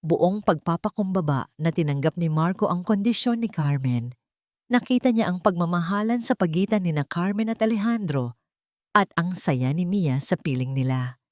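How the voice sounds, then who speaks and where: A woman narrates a scripted dramatic story in a measured, earnest manner. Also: lowish voice, animated, darkish tone, dry recording, clean studio sound.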